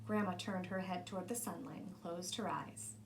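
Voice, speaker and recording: A woman reading a children's storybook aloud in an expressive narrating style. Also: lowish voice, normal speed, slight background noise, poor audio. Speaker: storybook reader.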